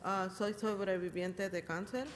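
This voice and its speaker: Woman, housekeeper, hesitant, many fillers, mid pitch, long reverb. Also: fairly even intonation, normal speed. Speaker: hotel housekeeper giving public comment. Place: large council chamber. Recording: long hall reverb with faint room noise.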